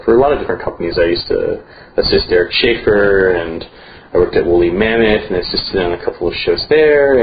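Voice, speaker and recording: Deep-voiced man, rambling with many fillers. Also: muffled recording, a little hiss or hum. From United States. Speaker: theater festival director. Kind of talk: casual interview conversation.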